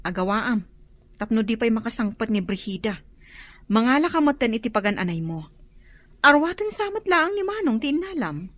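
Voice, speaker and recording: An actress playing a firm, protective sister who gives instructions in an Ilocano radio drama, with an Ilocano accent. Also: female voice, on the high side, big pitch swings, moderate pace, pauses often, fairly bright tone, no room sound.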